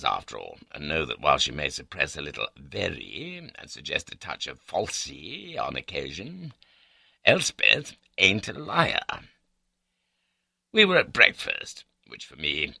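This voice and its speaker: Blustering old upper-class British gent, voiced by a male narrator: gravelly, hugely animated, wry storybook raconteur. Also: low voice, no room sound, studio quality, exaggerated voice. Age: older. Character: blustering, cynical old English gentleman, irascible raconteur.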